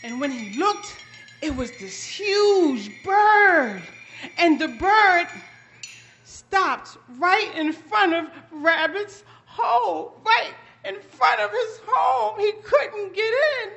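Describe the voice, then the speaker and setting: Lady storyteller for kids, real high bright voice, takes her time, big dramatic delivery. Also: animated, creaky. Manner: dramatic, emphatic, with long deliberate pauses. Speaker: children's storyteller. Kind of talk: high-energy interactive folktale performance.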